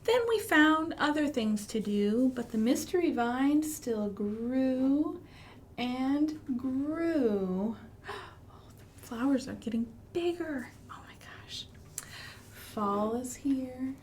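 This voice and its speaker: An American woman leading a storytime for young children in an expressive, sing-song way, with gasps and hushed emphasis. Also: high-pitched, takes it slowly, a little hiss or hum. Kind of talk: children's storytime with singing, rhymes and asides.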